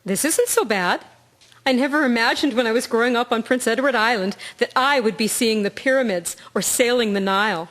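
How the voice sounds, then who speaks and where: An actress playing a composed, stoical Canadian nursing sister in a wartime radio drama. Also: female voice, a little high, brisk, fairly bright tone, natural voice. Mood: curious, pleased.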